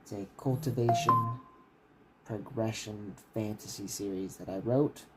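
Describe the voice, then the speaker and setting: A man's voice: on the low side, fairly even intonation, a little slow, fairly bright tone, faint music behind. A man who reads his own fantasy writing aloud like a bedtime story on a casual livestream. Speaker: author reading own novel aloud.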